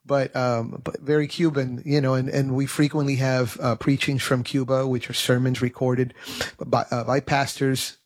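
A man of average pitch talks in an earnest, halting way on a conversational radio interview. He keeps restarting his sentences as he goes. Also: little pitch movement. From United States. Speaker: radio program host.

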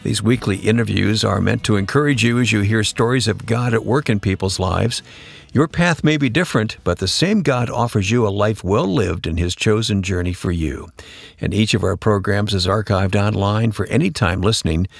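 A male radio host with a low, gravelly voice reads a measured introduction to an interview program. The sound is dry and close, with faint music underneath. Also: no room sound.

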